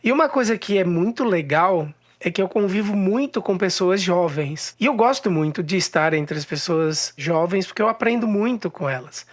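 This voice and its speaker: A man's voice: sits high, animated, normal speed, hardly any pauses. A man in his sixties, an actor, sharing personal thoughts on aging in a recorded testimony. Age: 60s. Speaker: guest.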